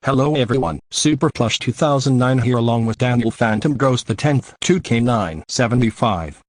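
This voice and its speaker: A man's voice: medium pitch, normal speed, dry recording, natural voice. A synthetic man's voice playing a vlogger who relays a warning in a scripted announcement. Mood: plain warning.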